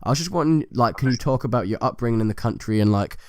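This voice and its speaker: A man's voice: medium pitch, expressive, very fast, dry recording, studio quality. A man interviewing a fighter, asking long, rambling questions full of fillers.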